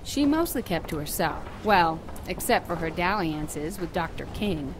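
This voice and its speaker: A woman's voice: lowish voice, big pitch swings, a little slow, background noise. A woman playing a chatty, flirtatious nurse in her fifties, in conversational audio drama dialogue. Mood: playful, gossipy.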